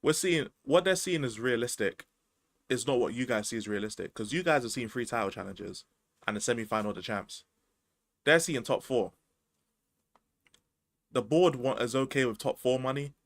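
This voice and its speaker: A man's voice: medium pitch, normal speed, pauses often, no echo. A man, chatting casually about football as a podcast host, slangy and rambling. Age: 20s.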